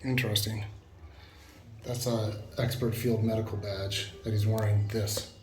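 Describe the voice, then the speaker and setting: A man's voice: on the low side, little pitch movement, measured pace. A man giving a casual walking tour of a museum, thinking aloud with fillers and restarts, his voice cracking now and then. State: voice keeps cracking. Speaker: travel vlogger. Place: indoor and courtyard museum rooms.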